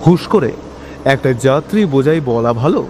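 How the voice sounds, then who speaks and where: A man with a West Bengal accent narrating a scripted love story, expressive and conversational, speaking straight to listeners. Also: fairly high pitch, normal speed, a little hiss or hum. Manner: expressive, conversational, with rhetorical questions. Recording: long reverb with music behind.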